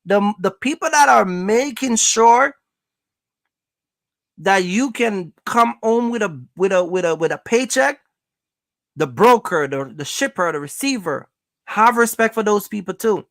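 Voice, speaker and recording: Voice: medium pitch, lively intonation, a little slow, fairly bright tone, no echo. A speaker gives casual motivational advice as a host, talking emphatically, repeating points and checking in with listeners. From Jamaica. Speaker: host, trucking business coach.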